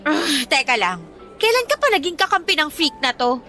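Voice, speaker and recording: A woman's voice: high-pitched, big pitch swings, normal speed, bright, forceful tone, faint music behind, exaggerated voice. A woman playing a spiteful, haughty teenage bully in a Filipino radio drama, with taunting, sarcastic delivery. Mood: spiteful, defiant.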